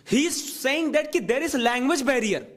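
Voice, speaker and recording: Man, Indian English accent, very high voice, classroom debate moderator, brisk, prompting, repeats himself. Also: lively intonation. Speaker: spoken English teacher.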